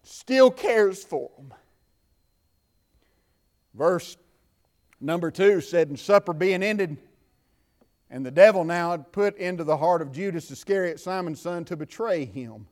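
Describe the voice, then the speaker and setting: A man with a Southern US accent preaching a sermon from the pulpit, building to fervent shouts and dropping to quiet talk. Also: high-pitched, animated, a little slow, pauses often. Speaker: pastor. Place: church.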